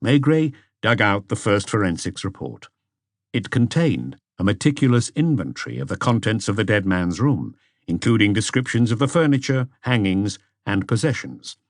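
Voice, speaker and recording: Low-pitched male audiobook narrator. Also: very animated, moderate pace, dry recording, clean studio sound. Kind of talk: scripted narration of a detective novel.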